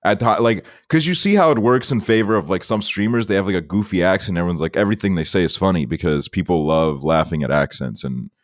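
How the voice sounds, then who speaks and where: Low-voiced young man, dry joking chat. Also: quick pace, hardly any pauses, no echo. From Estonia. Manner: joking, laughs often, rambling restarts. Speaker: podcast co-host. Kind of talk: casual chat.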